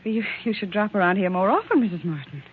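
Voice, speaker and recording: An actress playing a brisk, polite private secretary in 1940s radio mystery style. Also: female voice, medium pitch, expressive, a little hiss or hum, lightly acted. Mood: polite, guarded. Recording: muffled tinny 1940s radio broadcast.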